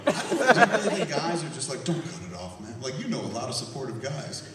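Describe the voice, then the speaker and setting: A man's voice: medium pitch, fast talker. Profane man riffing before a laughing crowd. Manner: profane, animated storytelling with mocking voices. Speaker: podcast host. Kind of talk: live comedy podcast, reading news and riffing. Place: campus stage before a live audience. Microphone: hand-held camera recording from the audience. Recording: echoey hall, camera from far off.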